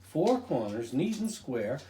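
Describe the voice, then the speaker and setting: Older man, dramatic picture-book storyteller; soft-edged mellow tone, roomy echo, music behind. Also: medium pitch, takes it slowly. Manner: expressive, dramatic, with occasional stumbles. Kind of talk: animated children's picture book reading.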